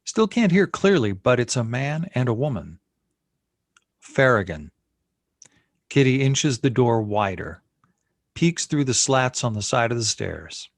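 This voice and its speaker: A man's voice: medium pitch, no echo. Close, dry male script narrator. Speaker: narrator reading stage directions.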